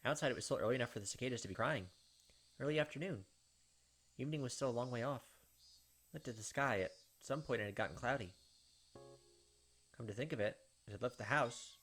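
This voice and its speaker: A man reads visual novel narration aloud in a quick voice of middling pitch. He hosts a gaming playthrough, slipping in brief remarks of his own, with game music behind him. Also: fairly bright tone, slightly rough. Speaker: gaming channel host doing a playthrough. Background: visual novel background music.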